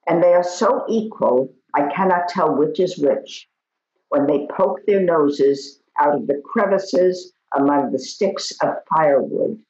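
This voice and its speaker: A woman reading poetry aloud in a slow recitation, recorded in an echoey room with dull audio. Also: low voice, a little mellow. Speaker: poetry reader.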